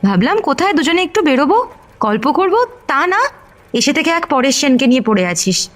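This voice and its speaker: A sulky young woman in a radio drama, high-voiced and very animated. She speaks in a petulant, reproachful way over faint music. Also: fairly quick. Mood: annoyed, sulky. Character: annoyed, sulky young woman scolding her companion. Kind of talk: Bengali audio drama acting.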